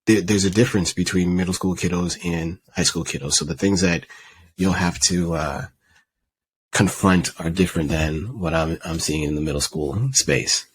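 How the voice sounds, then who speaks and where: Homem de voz muito grave, apresentador de podcast, fala descontraída e pensativa. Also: male voice, sits low, steady tone, normal speed, a little hiss or hum, poor audio. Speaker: podcast host, middle school teacher. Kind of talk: casual conversational interview.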